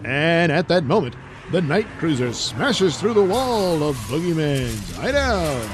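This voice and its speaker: A man's voice: fairly high pitch, sing-song, a little slow, over music. A man narrating a comic audio play in a mock-dramatic comic-book style. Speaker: narrator and creator. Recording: noisy home recording.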